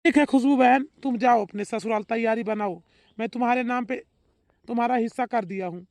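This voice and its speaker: A man voicing a devoted, gentle adult brother in a melodramatic cartoon, earnest, emphatic and reassuring. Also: extremely high pitch, brisk, no echo. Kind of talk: melodramatic Hindi cartoon family drama voice acting.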